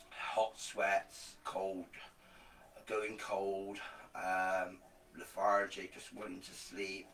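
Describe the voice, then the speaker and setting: A man with a low, groggy voice talks loosely to his live viewers. He rambles, stacks fillers and repeats words for emphasis. He sits back from the microphone in a roomy, echoey space. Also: a bit bright, far from the mic, slight background noise. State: groggy voice after illness. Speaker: live streamer promoting an online program. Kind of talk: casual live video chat to viewers.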